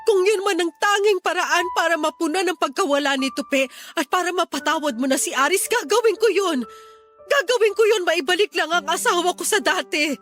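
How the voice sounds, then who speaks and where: Desperate Filipino wife, high voice, earnest begging, emotional radio drama, music underneath. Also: female voice, a little fast, a bit bright. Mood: distressed, insistent. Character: pleading, desperate wife and mother, younger sister-in-law.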